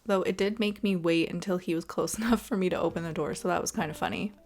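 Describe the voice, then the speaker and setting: A woman's voice: fairly low pitch, steady tone, quick pace, darkish tone, faint music behind. A woman hosting a gaming video with casual, chatty commentary, talking to viewers in a friendly, direct way.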